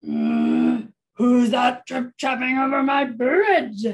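A woman's voice: on the high side, huge pitch swings, slow, full character voice. A woman voicing a mean, ugly, greedy troll in a children's story, roaring out threats with growled hums. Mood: roaring, menacing.

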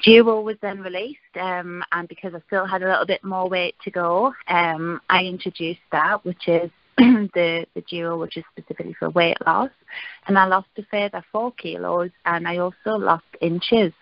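A woman's voice: lowish voice, steady tone, normal speed, vocal fry, no room sound. A middle-aged woman from northeast England with a Geordie accent, telling her story on a call in a chatty way with many fillers. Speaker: caller giving a testimonial. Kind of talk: casual phone testimony. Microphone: conference call line. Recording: dull lo-fi call audio.

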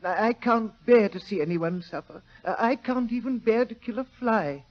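A man's voice: high-pitched, moderate pace, natural voice. An actor playing a meek, flustered, fussy man who dithers and hesitates, in 1940s radio drama style. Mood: nervous, mournful. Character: meek, fussy, flustered brother of poisoning victim. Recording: muffled old-time radio recording.

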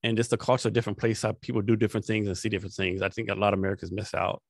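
A man's voice: on the low side, little pitch movement, very fast, creaky, no room sound. A man hosting a podcast interview, asking questions in a casual way with lots of "like", on a studio microphone. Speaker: host. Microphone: studio microphone with headphones.

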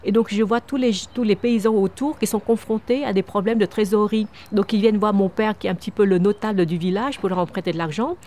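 A woman's voice: medium pitch, fairly even intonation, fast, few pauses, darkish tone. A woman in her fifties from Vietnam, answering interview questions outdoors in an earnest way, often restarting and repeating words. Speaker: founder of a microcredit charity. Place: outdoors on a wooden terrace among trees.

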